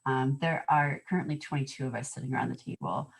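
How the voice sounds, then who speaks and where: A woman hosting an online museum event over a video call, informal and somewhat halting. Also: rumbling low, vocal fry, a little hiss or hum, rough recording. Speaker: host, museum events manager.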